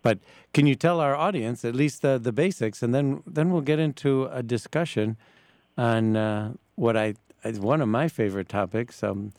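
A man hosting a live talk radio interview in a halting, stammering, casual way. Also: mid-range voice, darkish tone, no echo. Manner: halting, hesitant, stammering delivery. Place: radio broadcast from a church.

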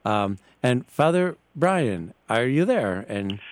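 A man hosting a live talk radio interview in a halting, stammering, casual way. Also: mid-range voice, big pitch swings, dry recording. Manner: halting, hesitant, stammering delivery. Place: radio broadcast from a church.

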